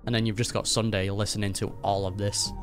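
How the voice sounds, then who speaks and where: A man's voice: fairly low pitch, level tone, fast. A man giving casual, slangy reaction commentary on a video game, with a wry manner. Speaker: gaming reaction host. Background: game music.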